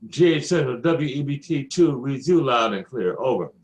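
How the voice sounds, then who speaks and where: A man's voice: medium pitch, expressive, moderate pace. A man making brief, formal procedural radio calls in clipped phrases as a trainee operator.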